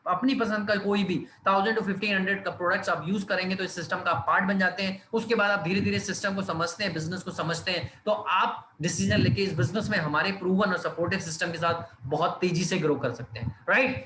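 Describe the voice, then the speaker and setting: A man's voice: sits high, fairly even intonation, quick pace, few pauses, roomy sound, background noise. A man giving a persuasive online business presentation, repeating himself, using many fillers and reading out names. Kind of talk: live online sales presentation in Hindi-English mix.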